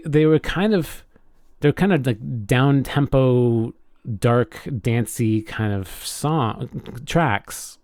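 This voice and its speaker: A man's voice: medium pitch, a little mellow, no echo, studio quality. A man co-hosting a podcast in casual chat, telling things informally and hesitantly as he goes. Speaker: podcast co-host.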